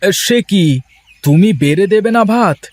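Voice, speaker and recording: A worn-out cartoon fisherman, played by a man, speaks in a husky, lively voice, full of sighs and resignation. The sound is close. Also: high-pitched, moderate pace, dry recording. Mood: weary. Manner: sighing, resigned. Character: weary put-upon fisherman husband. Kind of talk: Bengali cartoon voice acting.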